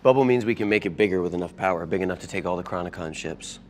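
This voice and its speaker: A man's voice: deep voice, racing, slight background noise, lightly acted. An American man in his thirties playing a cocky, chatty, big-hearted young tech whiz in a TV drama table read. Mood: eager. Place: large meeting room full of people.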